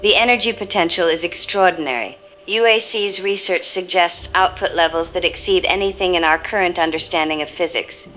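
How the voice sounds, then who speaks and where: A woman's voice: on the low side, a little fast, few pauses, fairly bright tone, natural voice, low-quality recording. An actress playing a cautious, frank female scientific analyst, careful and explanatory in a news interview. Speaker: expert analyst guest. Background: music.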